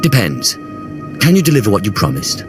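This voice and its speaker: An actor playing a sardonic, haughty Sith sorcerer in a video game, speaking in a dry, arch, measured way. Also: male voice, fairly low pitch, huge pitch swings. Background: faint music.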